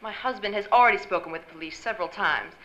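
Woman acting brisk, sharp-toned noir femme fatale. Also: mid-range voice, lightly acted, poor audio. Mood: cool, guarded. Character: sultry, guarded wealthy married woman, femme fatale. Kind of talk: old-time radio noir drama acting. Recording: live stage recording with faint noise.

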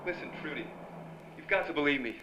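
A man's voice: a little high, fast talker. A man playing an earnest, romantic young reporter hero in an old-time radio drama, with a theatrical, emphatic delivery. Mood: determined. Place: stage before a live audience. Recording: dull, roomy live stage recording, loud noise.

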